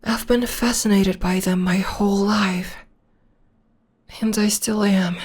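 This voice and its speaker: Woman does a caring witch gf comforting you, husky whispers, takes it real slow, right up on the mic. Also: medium pitch, steady tone. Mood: tender, reassuring. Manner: soft half-whispered comforting. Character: gentle young witch girlfriend, devoted, reassuring. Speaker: voice actor. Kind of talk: ASMR audio roleplay. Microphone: close mic.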